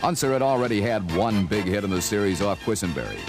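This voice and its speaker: A man's voice: on the low side, animated, fast. A man reading a scripted sports season documentary as narrator, with a dramatic storytelling delivery. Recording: music behind, old vinyl record. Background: music.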